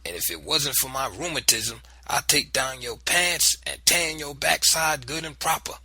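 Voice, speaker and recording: A man's voice: mid-range voice, measured pace, pushed and bright, breathy edge, voice pushed up, full character voice, low-quality recording. A man voicing an elderly grandfather who scolds and thunders at a boy. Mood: scolding.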